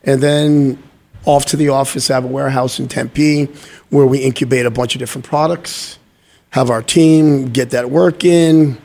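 An upbeat man talking as a guest in a relaxed interview. He is originally from Guyana and drops motivational sayings as he chats, in a medium-pitched voice. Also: normal speed, creaky. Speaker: guest entrepreneur. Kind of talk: casual interview chat.

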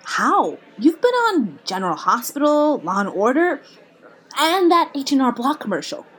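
Woman playing a brash, high-voiced, animated comedian. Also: normal speed, rough recording. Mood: blunt, incredulous. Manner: sarcastic, profane, punchy. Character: brash, blunt female comedian, crude and bossy friend. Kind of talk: naturalistic comedy audio drama acting.

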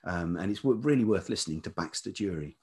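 Interview guest: middle-aged male, low-pitched and velvety with some rasp, reflective and halting in delivery. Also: lively intonation, darkish tone. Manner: hesitant, piecing thoughts together. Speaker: podcast guest, writer and producer. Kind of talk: reflective anecdotal interview talk.

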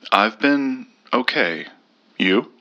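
An actor playing a guarded, loyal investigator in an audio drama, speaking in a dry, understated manner. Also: male voice, mid-range voice, lively intonation, drawn-out words, creaky, pushed and bright, no room sound, dull audio, full character voice. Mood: reserved, probing.

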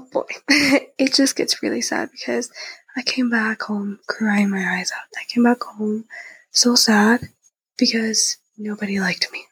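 A nineteen-year-old woman with a husky, breathy voice tells a personal story in a casual, candid, youthful way, repeating phrases for emphasis. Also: on the high side, dry recording, poor audio. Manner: youthful conversational, emphatic repetition.

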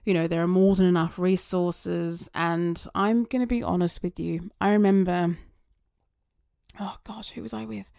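Woman musing out loud, kinda low and gravelly, goes off on tangents, dry close mic. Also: fairly even intonation, normal speed, dry recording. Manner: chatty, rambling, goes off on tangents. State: voice getting slightly raspy. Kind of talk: casual reflective monologue.

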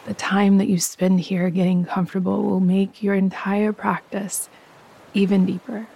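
Breathy woman guiding meditation, gravelly creak. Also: medium pitch, dull audio. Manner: calm, soothing, unhurried instruction. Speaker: meditation guide and host. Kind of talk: guided yoga nidra meditation.

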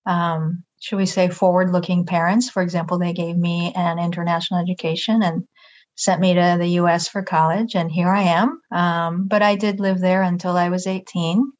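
A middle-aged woman from Japan, a native Japanese speaker, giving measured, thoughtful interview answers and choosing her words carefully. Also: on the low side, level tone, highs cut off. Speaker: interview guest.

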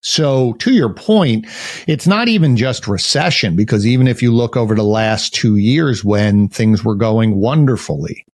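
A man with a big, loud voice and a lowish pitch, talking as a guest on a business podcast. He explains things earnestly in long, winding sentences. The sound is dry and close, with no room around it. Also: animated, measured pace, no room sound. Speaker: podcast guest, marketing agency CEO. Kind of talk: casual interview chat.

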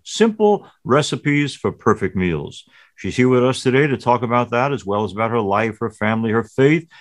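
A priest hosting a television interview show, reading a scripted introduction and then asking easy conversational questions with the odd filler. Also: male voice, mid-range voice.

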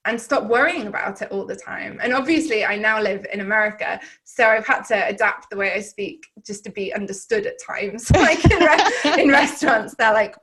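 A woman's voice: medium pitch, expressive, moderate pace, hardly any pauses, fairly bright tone. Reflective woman with a Southern English accent. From Wales. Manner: reflective, rambling, with frequent fillers and restarts. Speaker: podcast guest. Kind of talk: candid personal storytelling in conversation.

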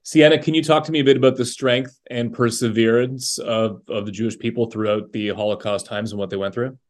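Man interviewer with mid-pitched voice. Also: fairly even intonation, brisk. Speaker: interviewer, host. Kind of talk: conversational interview questioning.

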